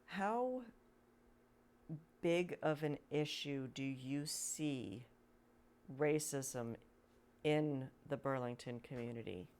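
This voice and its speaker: A woman host with a very low voice asks her guest questions. She speaks slowly and hesitantly, with plenty of fillers as she works out what to ask. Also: expressive, a little mellow. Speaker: podcast host. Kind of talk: interview questions.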